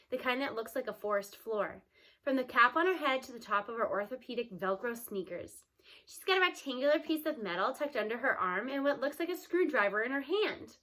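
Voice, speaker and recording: A woman reading a novel aloud, expressive, with lively shifts in tone. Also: a little high. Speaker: reader.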